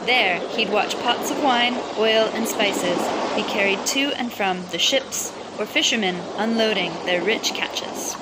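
A woman reading a children's picture book aloud in an expressive, dramatic storytelling style. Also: medium pitch, unhurried, hardly any pauses. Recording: loud music and crowd sounds underneath. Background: music and crowd noise.

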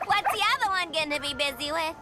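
Woman playing a high-pitched cheeky clown girl. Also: fast talker, lightly acted. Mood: flippant, teasing. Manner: flippant, teasing, wisecracking. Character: playful, cheeky young female clown villainess turned hero. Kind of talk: video game character acting. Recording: loud music behind.

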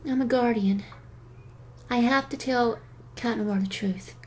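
Woman acting a high-voiced, hesitant teen superheroine. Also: a little slow, darkish tone, a little hiss or hum, lightly acted. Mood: worried. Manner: hesitant, breathy, often whispering. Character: anxious, conscientious teenage superheroine and secret guardian. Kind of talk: fan audio drama acting. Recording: music under the voice.

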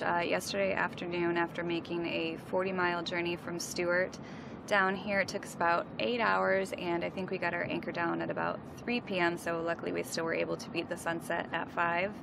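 A relaxed young woman talks to a camera held at arm's length on the deck of her sailboat. Her voice sits low and stays level, with a gravelly edge. Wind and engine noise run steadily underneath. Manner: relaxed, chatty, laughs easily. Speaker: sailing vlogger. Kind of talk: casual vlog narration.

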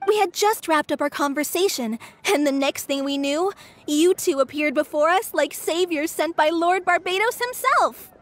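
A high, earnest young cat rescuer. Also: female voice, lively intonation, moderate pace. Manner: earnest and fretful. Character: earnest young woman running a cat shelter, anxious. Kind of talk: video game voice acting.

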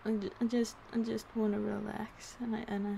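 A woman's voice: medium pitch, moderate pace, gravelly, natural voice. A woman playing an overheated, playfully grumpy girlfriend in an ASMR roleplay, murmuring drowsily close to the mic. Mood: weary pleading. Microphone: close binaural microphone. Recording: steady electric fan noise.

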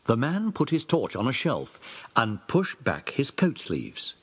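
A man's voice: mid-range voice, wildly swooping pitch, measured pace. A man reading scripted narration for a children's audio drama. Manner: clear, storytelling.

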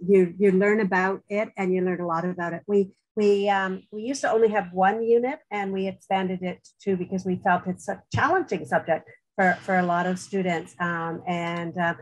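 A woman's voice: on the low side, poor audio. A middle-aged woman from Canada with a Canadian accent, a university radiography lecturer answering on a webinar panel in an earnest, encouraging way with many ums, heard through a headset on a video call.

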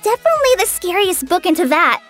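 An earnest young girl ranger from a fantasy video game, with a very high, youthful voice. She speaks softly and hesitantly, sincere and a little shy. Light music plays behind her. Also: big pitch swings, quick pace, full character voice. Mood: sheepish. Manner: hesitant, soft-spoken, sincere. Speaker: game character voice. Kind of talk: scripted video game character lines. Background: background music.

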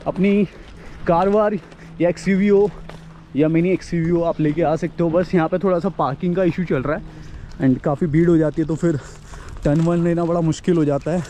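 A man's voice: a little high, steady tone, fairly quick, dark tone. A young man giving a casual walk-and-talk travel vlog outdoors at a hillside campsite, chatting and mixing English words into his speech. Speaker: travel vlogger. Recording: faint outdoor noise.

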